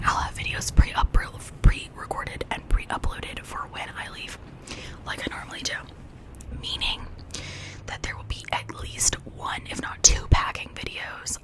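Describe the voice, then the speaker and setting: An ASMR creator whispering an informal, rambling chat in a breathy, unvoiced voice, gushing softly over cute things. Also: very deep voice.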